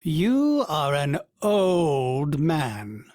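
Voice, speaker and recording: A man's voice: on the high side, wildly swooping pitch, drawn-out words, a little mellow, dry recording, clean studio sound, exaggerated voice. A man voicing a fussy, polite male ship AI in a video game, prim and formal and referring to itself in the third person. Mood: scolding.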